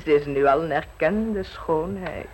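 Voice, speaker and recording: A woman's voice: sits low, a little slow, darkish tone, slight background noise, rough recording. An actress playing a shrewd aristocratic lady hosting tea in a radio play, arch, gossipy and probing.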